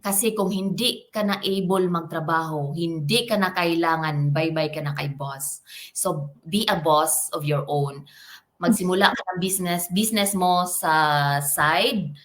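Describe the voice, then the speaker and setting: A woman with a Filipino accent, a guest coach giving animated motivational talk on a video call. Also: fairly low pitch, moderate pace. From Philippines. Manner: animated, switching between English and Tagalog.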